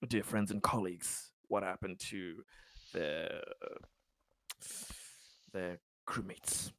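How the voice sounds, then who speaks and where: A man's voice: low voice, slow pace, fairly bright tone, no room sound. A man talking casually at a game table as one of the players.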